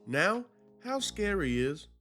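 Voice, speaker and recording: A man's voice: high voice, big pitch swings, slow pace, fairly bright tone, faint music behind. A man hosting a video commentary, narrating in an informal, slangy, conversational way. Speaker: YouTube host.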